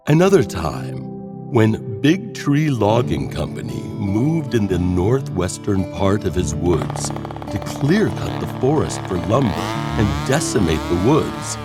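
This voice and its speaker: Guy narrating a horror audio story, very slow and dramatic, pitch moves around a lot, music underneath. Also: sing-song.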